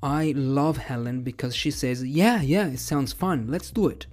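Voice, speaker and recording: A man in his thirties, a native Italian speaker, hosting a podcast and telling a personal story in a relaxed, measured way. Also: mid-range voice. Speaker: podcast host and English teacher.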